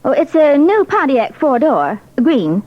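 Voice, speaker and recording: High-voiced policewoman in old radio drama. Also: big pitch swings, unhurried. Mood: composed. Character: brave policewoman acting as decoy, anxious but composed. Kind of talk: 1940s radio drama acting. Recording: dull, hissy old radio recording.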